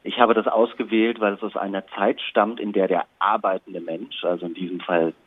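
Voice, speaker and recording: A man with a fairly low, slightly husky voice is being interviewed. He explains his creative work in a considered, articulate and reflective way. The sound is narrow and muffled, like a phone connection. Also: little pitch movement, normal speed. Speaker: interviewed radio play author and composer.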